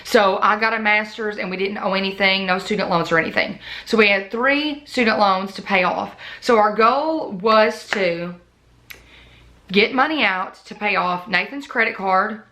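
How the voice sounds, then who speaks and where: A woman with a bright, clear-toned voice that is still a little worn as it recovers. She chats casually and unscripted to the camera, telling a long, rambling story as a budgeting vlogger. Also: medium pitch, moderate pace. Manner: chatty, talks in long run-on stories. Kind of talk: casual off-the-cuff chat to camera.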